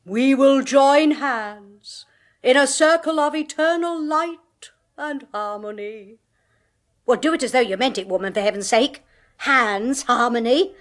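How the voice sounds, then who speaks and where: An imperious spinster medium. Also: female voice, sits high, unhurried. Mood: bossy. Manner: imperious, commanding and impatient. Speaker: medium and clairvoyant.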